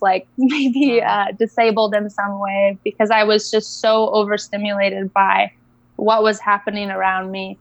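A young woman from Indonesia, a guest coach telling her story in a casual interview, reflective and unhurried with soft laughs. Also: medium pitch, dry recording, highs cut off.

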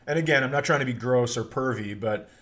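A middle-aged man chatting as a podcast host, spinning casual comic anecdotes. His pitch sits in an average male range, and he has a joking, teasing manner. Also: fast talker, fairly bright tone.

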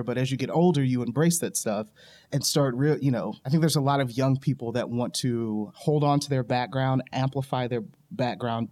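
A man's voice: medium pitch, darkish tone. A man interviewing a guest, asking long, rambling questions with many fillers.

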